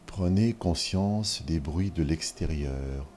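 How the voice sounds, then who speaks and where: A man guides a yoga nidra relaxation at an extremely slow pace. His voice is very deep and mellow, giving short, measured instructions separated by long pauses. Music plays behind him.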